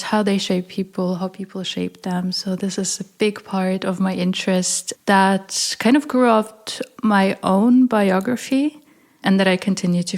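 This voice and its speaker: A woman in her thirties who grew up in Ukraine and Germany, talking thoughtfully in a casual interview, pausing with fillers. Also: lowish voice, a little mellow. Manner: thoughtful, hesitant with fillers, laughs easily. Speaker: artist and researcher, interview guest.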